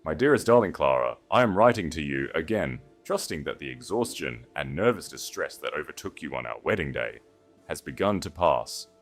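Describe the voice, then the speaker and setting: Man voicing a pompous deep-voiced earl. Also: sing-song, moderate pace, soft background music. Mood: smoothly condescending. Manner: pompous, flowery. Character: arrogant, self-satisfied male earl, falsely devoted.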